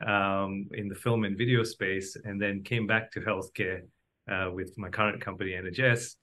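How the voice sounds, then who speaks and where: A man's voice: fairly low pitch, fairly even intonation, moderate pace, sharp tone. A middle-aged man from Malaysia giving reflective, conversational answers as an interview guest. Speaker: podcast guest, doctor turned entrepreneur.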